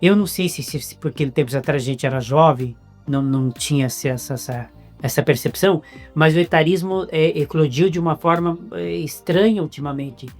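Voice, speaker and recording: A Brazilian man with a Brazilian Portuguese accent, hosting an interview in a casual, halting way with many restarts. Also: on the high side, darkish tone, faint music behind. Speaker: interviewer host.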